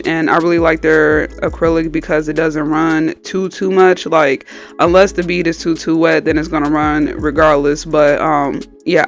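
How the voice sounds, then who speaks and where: A woman nail technician with a stuffy, slightly nasal voice from a cold, casually explaining each step in a tutorial voice-over. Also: low-pitched. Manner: chatty, explains each step as she goes. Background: faint background music.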